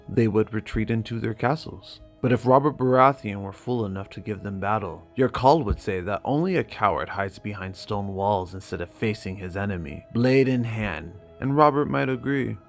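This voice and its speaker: Man playing a grave, low-voiced exiled knight. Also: gravelly, soft background music. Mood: grave reflection. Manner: grave, measured. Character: seasoned exiled knight, sober, loyal male advisor.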